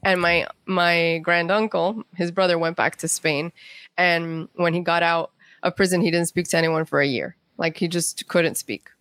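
Female interview guest, writer, slightly low pitch, conversational animated storyteller, dry close sound. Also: normal speed, no room sound.

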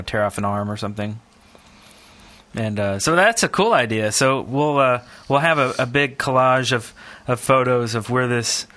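A man's voice: mid-range voice, bright, forceful tone. A man co-hosting a podcast in casual chat, rambling along in a jokey, self-deprecating way. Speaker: podcast co-host.

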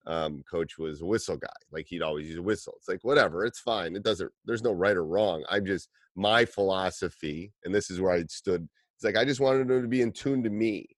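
A man with a very deep voice, a basketball coach, chatting casually and relaxed, with frequent "like". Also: fairly quick, no echo, studio quality. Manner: relaxed, says "like" often.

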